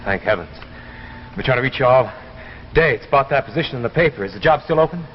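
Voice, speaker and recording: An actor playing a frustrated, defensive out-of-work engineer with an American accent and a halting manner, in 1950s science fiction drama style. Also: male voice, mid-range voice, lively intonation, close to an everyday voice. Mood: hesitant, anxious. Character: frustrated unemployed married engineer, defensive and hesitant. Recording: muffled, noisy 1950s broadcast recording.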